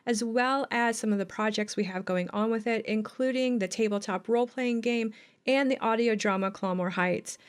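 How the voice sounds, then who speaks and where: A woman's voice: on the high side, clear, resonant tone. A woman who works as a game designer, talking conversationally about how a game was made.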